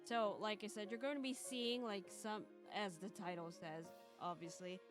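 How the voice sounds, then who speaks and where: A woman hosting a casual live stream, talking in a rambling, halting way. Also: mid-range voice, steady tone. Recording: music behind the voice. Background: background music.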